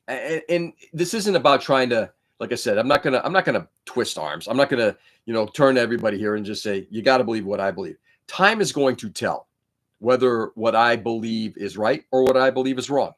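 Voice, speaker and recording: Greek-native guy rambling on his stream, blunt and sweary, talking into a laptop mic. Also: mid-range voice. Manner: rambling, profane, opinionated. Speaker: livestream host building model kits. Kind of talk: casual livestream chat. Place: indoor room.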